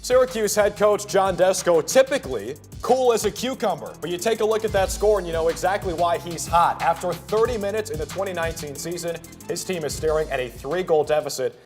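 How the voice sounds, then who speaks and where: A man in his early twenties working as a sports broadcaster, with polished commentary and reporting. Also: sits high. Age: early 20s. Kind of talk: play-by-play commentary, interviews and studio reporting.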